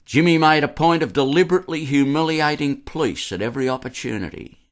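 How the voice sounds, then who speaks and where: A man with an Australian accent reading a scripted historical narration for radio in a measured storytelling manner. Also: a little high.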